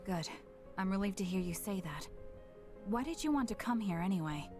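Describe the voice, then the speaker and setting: A woman voicing a composed, resolute young soldier in a video game drama, guarded but caring, with a cool and deliberate delivery. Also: mid-range voice, lively intonation, lightly acted. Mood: relieved, supportive. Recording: loud music behind the voice. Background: background music.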